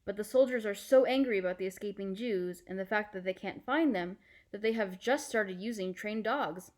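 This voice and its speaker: A woman playing a calm, reassuring fisherman uncle in a storybook, with a measured, explanatory manner. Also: medium pitch, natural voice. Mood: serious.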